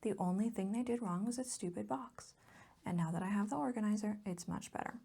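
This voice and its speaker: A woman speaking softly in an ASMR chat, often slipping into a breathy whisper, rambling affectionately and gushing about what she likes. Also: brisk. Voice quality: soft, breathy whispering mixed with low voiced murmur. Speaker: host of a hobby ASMR channel. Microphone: close mic, recorded as voice-over.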